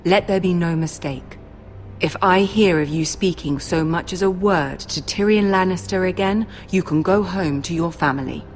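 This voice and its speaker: Actress as imperious young noblewoman, suspicious, formal, slightly low voice, fantasy game with faint score. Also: normal speed, close to an everyday voice. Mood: stern, reproachful. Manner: formal, commanding, clipped. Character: stern, suspicious young noblewoman scolding her handmaidens. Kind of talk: video game drama acting. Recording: soft music behind.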